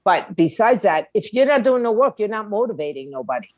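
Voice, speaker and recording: A woman's voice: mid-range voice, sing-song, a little fast, a little mellow, no room sound, studio quality. Blunt, streetwise middle-aged woman. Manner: blunt, streetwise, emphatic with rhetorical questions. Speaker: podcast guest, music attorney and indie label owner. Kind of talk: conversational advice in an interview.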